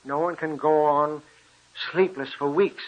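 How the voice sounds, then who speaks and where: An actor playing a concerned, rational doctor, reasoning and incredulous, in the theatrical style of old-time radio drama. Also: male voice, on the high side, steady tone, a little slow, muffled recording, close to an everyday voice. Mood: concerned disbelief. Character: concerned, rational male doctor soothing a friend. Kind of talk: 1940s radio drama acting.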